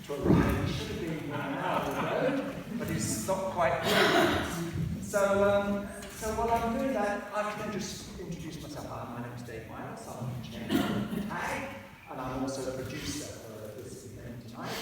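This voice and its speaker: A man's voice: fairly high pitch, lively intonation, normal speed, few pauses. Flustered man presenting a play. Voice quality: flustered, hesitant, many ums. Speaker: chairman and producer introducing the cast. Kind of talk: comic scripted radio play narration. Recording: echoey hall, mic far off, noisy.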